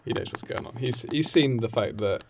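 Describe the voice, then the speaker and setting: A man's voice: medium pitch, a little fast, a little mellow, a little hiss or hum. A man with an English accent, chatting casually as a podcast co-host and explaining an improvised story in a loose, hesitant way.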